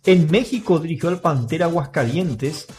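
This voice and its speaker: A man co-hosting a radio show, giving sports news in a steady, informative way. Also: on the high side, normal speed, faint music behind. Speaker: radio co-host and sports presenter.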